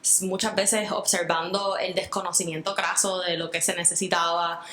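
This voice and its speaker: A woman's voice: lowish voice, level tone, moderate pace, sharp tone, roomy sound, a little hiss or hum. A Puerto Rican woman speaking earnestly in an interview, reflecting at length with fillers and restarts. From Puerto Rico. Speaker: guest. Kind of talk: interview conversation.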